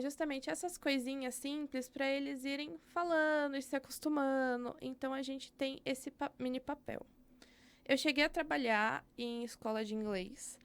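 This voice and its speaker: Clear-voiced young Brazilian woman. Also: sits high. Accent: Brazilian Portuguese. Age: young adult. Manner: candid, reflective, thinks aloud with frequent fillers. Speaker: podcast guest and educator. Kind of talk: casual interview conversation.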